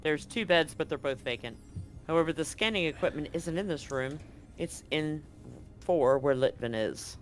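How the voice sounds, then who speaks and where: A man with a fairly high voice runs a tabletop horror game. He narrates scenes off the cuff, halting as he searches for the next detail. Soft music plays behind him. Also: animated. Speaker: game master.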